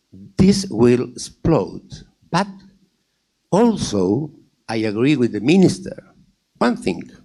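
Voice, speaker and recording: Emphatic Argentine man, slow and lively. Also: mid-range voice. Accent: Argentine Spanish. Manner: emphatic, answers his own rhetorical questions; repeats phrases for emphasis. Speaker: panel speaker at a wine industry forum. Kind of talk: conference panel talk.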